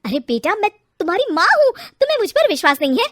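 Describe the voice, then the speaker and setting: A woman voicing a sharp-tongued middle-aged mother-in-law who scolds loudly and bossily. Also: steady tone, quick pace, lightly acted. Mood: pleading. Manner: shrill, loud and bossy.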